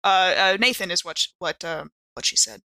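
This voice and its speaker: A woman's voice: on the low side, animated, a little fast, crowd noise. A woman improvising in character in a tabletop roleplaying podcast, wordy and hesitant as she pieces things together. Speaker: player in an actual-play podcast.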